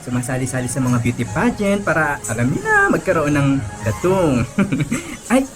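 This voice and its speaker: Bubbly gossipy pageant girl, super animated swoopy voice, exclaims a lot, radio drama w music. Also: deep voice, moderate pace. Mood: bubbly, excited. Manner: flamboyant, exclamatory. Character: bubbly, gossipy young pageant-going college friend. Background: music bed.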